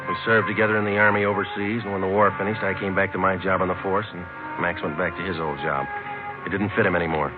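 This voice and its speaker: A deep-voiced, matter-of-fact American detective, acted by a man in an old radio crime drama. He talks in terse, deadpan phrases. The sound is muffled vintage broadcast with loud music. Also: fast, lightly acted. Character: stoic, matter-of-fact male police detective. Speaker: lead actor and narrator. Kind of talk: 1950s radio police drama acting.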